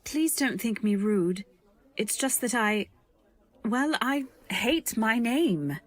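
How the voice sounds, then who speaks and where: A woman's voice: fairly high pitch, animated, slow, dry recording. Woman acting a demure, wistful young companion, dry close sound. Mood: candid. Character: modest, earnest young female companion, quietly candid. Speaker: narrator and heroine. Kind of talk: first-person narration in old-time radio drama.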